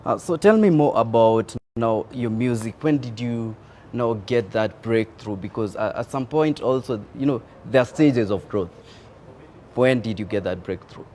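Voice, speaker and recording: A man's voice: medium pitch, normal speed, a little hiss or hum. A man hosting a casual interview, upbeat and chatty, with frequent murmurs of agreement. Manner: energetic greeting, frequent affirming murmurs. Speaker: interview host.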